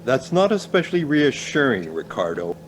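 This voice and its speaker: A reassuring but sceptical professor in a radio play, acted by a man, has a mid-pitched voice. He speaks formally, with dry understatement, over faint vehicle noise. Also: sing-song, moderate pace, lightly acted.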